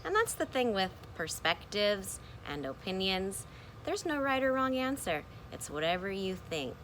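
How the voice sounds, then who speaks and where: Slow, animated woman teaching young children. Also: medium pitch, slight background noise, poor audio. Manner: expressive, asks questions and pauses for answers. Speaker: kindergarten teacher. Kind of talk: read-aloud lesson to young children.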